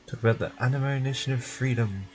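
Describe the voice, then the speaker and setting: A man's voice: medium pitch, quick pace, soft background music. A man streaming a game, reading its prompts and narration aloud.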